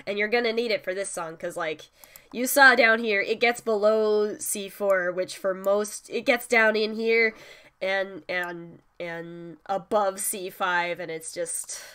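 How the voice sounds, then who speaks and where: Woman, congested with a cold, casual tutorial host, chatty with swearing asides, mid pitch. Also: steady tone, a little slow, pushed and bright, clean tone. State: stuffy from a cold. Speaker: music producer teaching vocal synth tuning. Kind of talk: casual screen-share tutorial.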